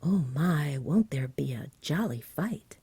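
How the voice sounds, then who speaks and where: A woman voicing an eager village boy in a storybook, in a breathy whisper with colloquial, clipped word endings. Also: sits low, animated, slow, mellow, dry recording, full character voice. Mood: eager, excited. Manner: eager, colloquial, dropped g's. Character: excitable village boy sharing big news. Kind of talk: storybook character voice in ASMR reading.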